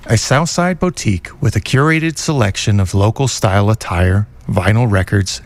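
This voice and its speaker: A man reading a scripted sponsor announcement for a local shop in a smooth, even promotional way. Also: lowish voice, dry recording. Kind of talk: scripted radio sponsor read.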